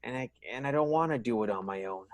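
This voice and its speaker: A man's voice: medium pitch, expressive, no room sound, close to an everyday voice. An actor playing a man who hesitantly admits he needs help, in a radio play. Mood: rueful. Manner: halting, hesitant restarts.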